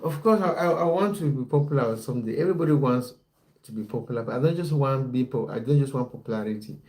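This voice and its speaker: Man, football coach hosting a livestream; mellow, relaxed tone, direct and repetitive with viewers. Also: medium pitch, fast talker, rough recording. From Cameroon. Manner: repeats phrases, talks straight to viewers. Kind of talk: livestream chat, reading out viewer comments.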